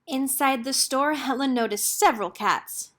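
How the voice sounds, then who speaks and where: A woman reading a children's picture book aloud as an expressive storyteller. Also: sits high, moderate pace.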